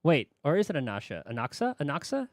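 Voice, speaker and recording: A man doing casual live reaction commentary as a game streamer, excitable and thinking out loud. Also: on the high side, fast, no echo, studio quality. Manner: excitable, musing aloud.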